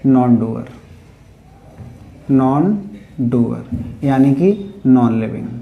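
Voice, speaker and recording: A man's voice: mid-range voice, lively intonation, drawn-out words, vocal fry, narrow, tinny audio. A man with a North Indian accent teaching grammar step by step, repeating key points and answering his own questions. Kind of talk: classroom lesson, explaining step by step. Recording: echoey room with faint background noise.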